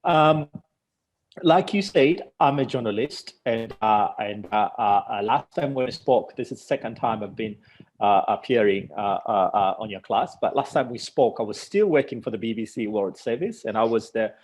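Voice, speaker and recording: A man's voice: on the low side, animated, normal speed, darkish tone. A Tanzanian man whose first language is Swahili, a journalist answering interview questions with many fillers and restarts. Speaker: journalist guest.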